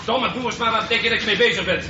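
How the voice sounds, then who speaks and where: Man as a high-voiced, bossy swimming teacher. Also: fast talker, close to an everyday voice. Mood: bossy, jocular. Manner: commanding, jocular, teasing. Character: brisk, teasing, bossy male swimming teacher. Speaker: swimming instructor. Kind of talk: radio drama acting. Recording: echoey, dull, noisy pool-like acoustic.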